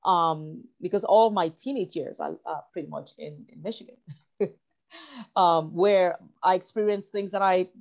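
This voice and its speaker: Low-pitched woman from Cameroon, halting and reflective. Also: gravelly, darkish tone, muffled recording. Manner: halting, searching, repeats phrases as she thinks. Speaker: interview guest, writer and actor. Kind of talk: reflective interview conversation.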